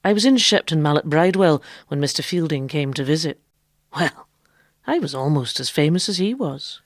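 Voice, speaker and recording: A woman's voice: low-pitched, animated, moderate pace, dry recording, studio quality. An actress in a radio drama playing an impudent, boastful female quack doctor who lives as a man. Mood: wry recounting. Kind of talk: radio drama acting with narration.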